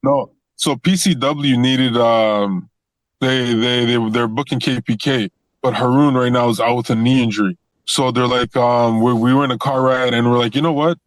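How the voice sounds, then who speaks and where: Young male wrestler guest, easygoing, rambling restarts, mid-pitched, dry mic. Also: normal speed, dry recording. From Toronto, Canada. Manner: relaxed, repeats words and restarts often, laughs easily. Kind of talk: casual interview chat.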